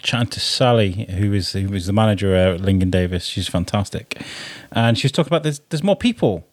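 A man's voice: on the low side, animated, fairly quick, no echo. A man hosting a podcast, chatting casually and asking his guests questions. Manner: conversational, asks questions. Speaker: podcast host. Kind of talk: casual chat.

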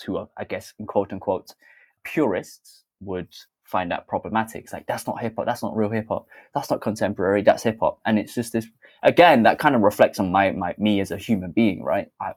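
A young British man who dances and makes theatre, speaking candidly and thoughtfully in an interview, with many fillers and self-corrections. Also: fairly low pitch. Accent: British English. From Britain. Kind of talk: interview answer, reflective storytelling.

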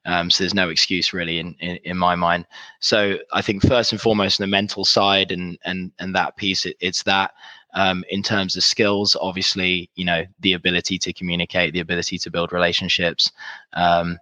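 Low flat congested man with a cold. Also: normal speed, no echo. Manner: many fillers and repeated words, earnest. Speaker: recruitment executive, guest. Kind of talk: casual interview answers. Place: remote, in Dallas.

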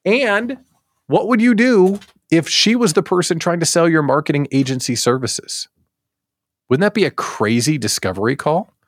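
A man's voice: a little high, moderate pace, no room sound, studio quality. An American man hosting a casual live show, chatting playfully to his audience and repeating words for emphatic comic effect. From United States. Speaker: host of a daily live show for small business owners.

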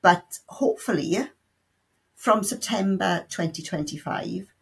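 A woman's voice: mid-range voice, lively intonation, slow, gravelly, husky. A middle-aged woman with a South Welsh accent, a sign language tutor answering interview questions in a chatty way, full of restarts and playful asides. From South Wales.

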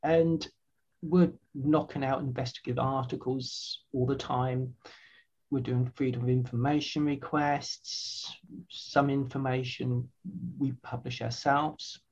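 A woman's voice: very deep voice, fairly even intonation, slow, darkish tone, husky, dull audio. An older woman, earnestly answering interview questions with frequent restarts and repeated words. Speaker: website founder, guest.

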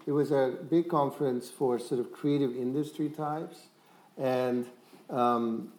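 A man's voice: mid-range voice, fairly even intonation, measured pace, darkish tone. A man on a discussion panel, recounting an anecdote in a rambling, storytelling way.

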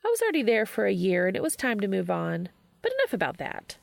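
A woman voicing a bubbly, bossy, affectionate young woman in a story reading, with a bright, emphatic delivery. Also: medium pitch, huge pitch swings, fast talker, gravelly, no echo, studio quality, exaggerated voice. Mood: bright, breezy.

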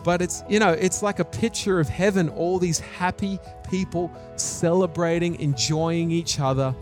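Higher-voiced Australian man preaching. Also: fairly even intonation, normal speed, faint music behind. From New Zealand and Australia. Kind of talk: sermon with personal stories.

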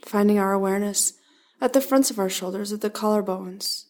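A woman leading a guided body-scan meditation, giving calm, gentle instructions in short, spaced phrases. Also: mid-range voice, a little fast.

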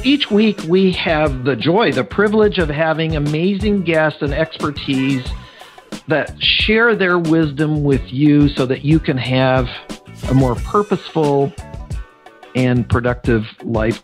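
Chatty male podcast host, teasing. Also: a little high. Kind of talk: podcast interview.

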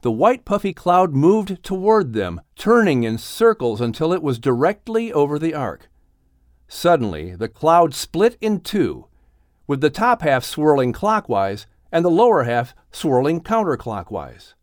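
Man, audiobook narrator, lively swooping intonation, dramatic scripted storytelling, close dry recording. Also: fairly high pitch, unhurried, dry recording.

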